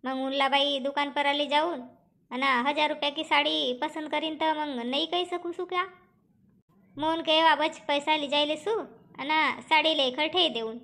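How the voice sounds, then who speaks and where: A woman's voice: sits high, fairly even intonation, unhurried, creaky, fairly bright tone, heavily acted. A woman voicing a talkative, plaintive village farm labourer in a cartoon comedy, speaking with a rural Powari accent. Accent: Powari, eastern Maharashtra and Balaghat region.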